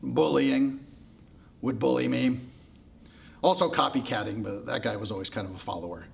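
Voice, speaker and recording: A small, lonely storybook creature, as a male storyteller puts it on. It is meek and unsure of itself, speaking haltingly and doubting its own worth. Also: mid-range voice, steady tone, vocal fry, slight background noise. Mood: hurt, mopey. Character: small timid insecure creature, a lonely little problem.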